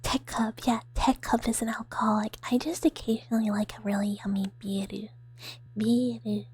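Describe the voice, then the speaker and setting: A woman with a breathy, whispery, soft voice chatting playfully to viewers on an ASMR livestream, rambling and making mock threats. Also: fairly high pitch, steady tone, moderate pace. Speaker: VTuber streamer playing a mouse character.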